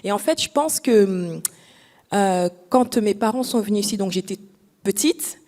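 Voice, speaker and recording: A young woman, from Congo-Kinshasa, tells a personal story with no noticeable accent. Her voice has a middle pitch, and she tells the story with lively, chatty energy, acting out the lines of the people in it. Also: normal speed. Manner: lively storyteller, acts out dialogue, laughs often. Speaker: panelist. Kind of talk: anecdotal storytelling on a panel.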